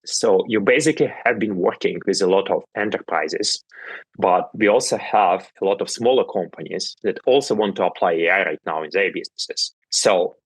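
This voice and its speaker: Deep husky-voiced eager male host. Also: moderate pace, no room sound, low-quality recording. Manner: eager, repeats basically and definitely. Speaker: podcast host. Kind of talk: interview questions.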